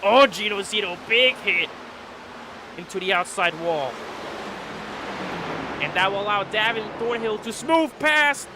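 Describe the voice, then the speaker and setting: A man's voice: unhurried, long pauses, background noise. Stuffy-voiced man calling a sim race. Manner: excitable, rises in pitch at restarts and wrecks. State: stuffy, a little sick. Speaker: race commentator. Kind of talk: sim racing play-by-play commentary.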